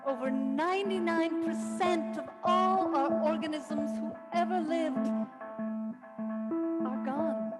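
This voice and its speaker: A woman playing the Earth as a weary, reproachful ancient mother in a staged play reading, speaking solemnly and deliberately with wry asides. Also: sits high. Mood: grave, tender. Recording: music behind the voice. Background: plucked guitar music.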